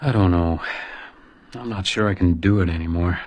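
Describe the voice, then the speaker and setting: An actor playing a weary, stoic hotel detective in a radio crime drama, terse and downbeat. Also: male voice, low voice, normal speed, highs cut off, lightly acted. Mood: weary, defeated. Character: weary, guilt-ridden male hotel house detective, gruff and stoic.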